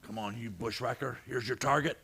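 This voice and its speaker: An actor playing a shrewd, steady lawman tracker in a western radio drama, with dry, folksy frontier phrasing. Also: medium pitch, fairly quick, gravelly, slight background noise. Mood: wry, tense.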